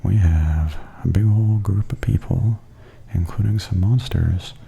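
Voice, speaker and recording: A man giving softly spoken ASMR tour commentary in a breathy, near-whispered, gentle and unhurried murmur. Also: deep voice.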